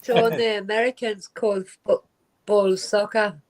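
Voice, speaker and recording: A woman's voice: mid-range voice, level tone, slow pace, a little mellow, rough recording. A woman with a Geordie accent chatting casually. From Wallsend, England. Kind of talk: casual chat.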